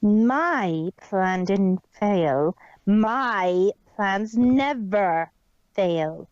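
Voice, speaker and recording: A woman's voice: mid-range voice, animated, extremely slow, a little mellow, dry recording, lo-fi sound. A woman voicing a cold, imperious female villain pony in a storybook reading, haughty and snarling.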